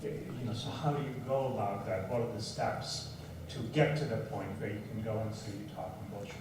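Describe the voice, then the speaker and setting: A man's voice: lowish voice, steady tone, brisk. A man in the audience asking a panel guest a thoughtful question. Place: event hall with audience. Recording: echoey hall from far off, noisy.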